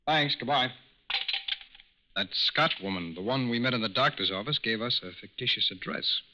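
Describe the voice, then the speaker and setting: An actor playing a shrewd, businesslike federal agent with a clipped, matter-of-fact delivery in a 1940s radio drama. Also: male voice, mid-range voice, expressive, normal speed, noisy background, lightly acted. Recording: muffled 1940s radio recording.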